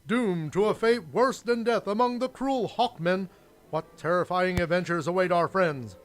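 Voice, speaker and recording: A man's voice: high-pitched, normal speed. A man narrating an old-time radio serial in a dramatic announcer style.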